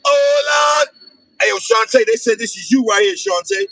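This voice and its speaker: High, bright-voiced man taunting loudly. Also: big pitch swings, moderate pace, creaky, no room sound. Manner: taunting, laughing, repeats calls of "hey, yo". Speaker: podcast guest. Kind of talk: loud mocking banter on a live stream.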